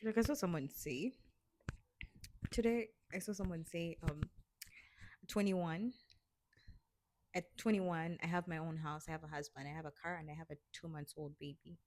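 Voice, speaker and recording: A woman's voice: deep voice, normal speed, long pauses, darkish tone, dry recording. A woman in her twenties co-hosting a casual podcast, giving earnest advice in a chatty way. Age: 20s. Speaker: podcast co-host. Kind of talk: casual chat.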